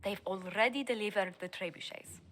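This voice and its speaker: A composed female ambassador at a war council, acted by a woman in a fantasy game. Her voice is medium in pitch. She speaks with courteous, earnest diplomacy. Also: fairly quick, fairly bright tone, lightly acted. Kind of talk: video game dialogue.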